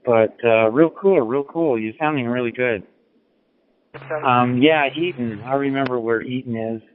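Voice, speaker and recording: A man's voice: medium pitch, unhurried, crowd noise. A man chatting casually as an amateur radio operator, easygoing and encouraging. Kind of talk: casual ham radio chat. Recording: tinny narrowband radio transmission.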